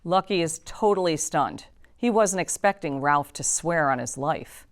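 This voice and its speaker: A woman's voice: deep voice, expressive, unhurried. A woman narrator reading screenplay stage directions at a table reading.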